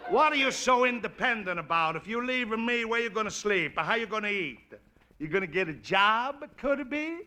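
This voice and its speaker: A cackling Italian bully. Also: male voice, sits high, lively intonation, a little slow, pauses often, bright, forceful tone, heavily acted. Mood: teasing. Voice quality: heavy broken English, cackling insults. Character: brash older male immigrant neighbor, mocking bully. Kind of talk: 1940s radio sitcom acting. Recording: old radio broadcast with faint hiss.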